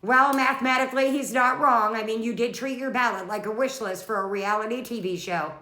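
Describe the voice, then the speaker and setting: A woman's voice: on the high side, a little fast, sharp tone, echoey room. A woman playing an exasperated office coworker with dry, withering, articulate sarcasm in a comedy skit. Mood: deadpan, withering.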